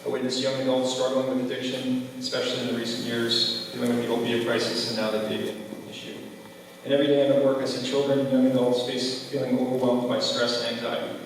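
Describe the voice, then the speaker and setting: Echoey, fast-talking man giving a campaign speech. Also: medium pitch, level tone. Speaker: school board candidate. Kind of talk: closing campaign speech at a public forum. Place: large echoey room at a public forum. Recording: echoey hall from far off, faint noise.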